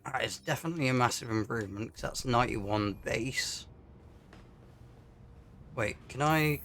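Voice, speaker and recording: A man giving casual, low-key commentary on a video game as he plays, often muttering to himself. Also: normal speed, a bit bright, slight background noise. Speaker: gaming YouTuber. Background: game music.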